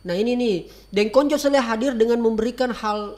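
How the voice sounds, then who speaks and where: A man hosting a casual podcast interview, chatty and quick to chime in. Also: very high voice. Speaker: podcast host.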